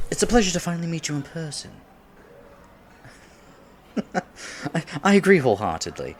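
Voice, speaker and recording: A man's voice: mid-range voice, slight background noise. A man in his twenties playing a nervous, polite young silver fox kitsune who wants to seem mature, in an ASMR date roleplay, speaking formally with stammers. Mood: polite, friendly. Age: 20s. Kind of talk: ASMR roleplay, scripted one-sided date conversation.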